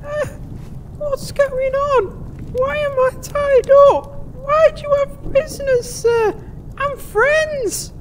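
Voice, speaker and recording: Someone playing a very high-pitched, timid servant boy. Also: steady tone, slow pace, slight background noise. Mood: timid, confused. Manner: hesitant, stammering. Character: timid naive boy servant George, polite and frightened. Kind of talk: comedic fantasy audio drama acting.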